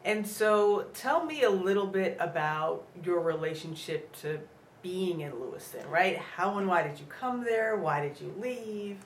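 A woman interviewer with a fairly low voice asks short, plain questions. Between them she keeps the talk going with soft murmurs. The sound is roomy and echoey, with faint ambience behind her. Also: lively intonation, a little slow, a little hiss or hum. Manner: brief prompts and backchannel murmurs. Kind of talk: oral history interview.

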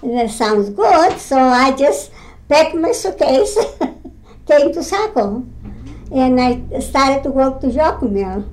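A woman in her nineties from Greece with a Greek accent, recounting her mill work in an oral history interview at home, halting with many fillers and simple non-native grammar. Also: high voice, animated, darkish tone, slight background noise, low-quality recording. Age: 90s. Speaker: interviewee, retired mill weaver.

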